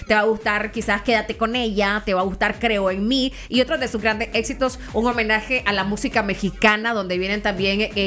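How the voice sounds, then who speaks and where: A woman with a Nicaraguan accent, a concert promoter on a radio show, pitching a ticket deal in a brisk, salesy way. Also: fairly bright tone. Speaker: promoter guest.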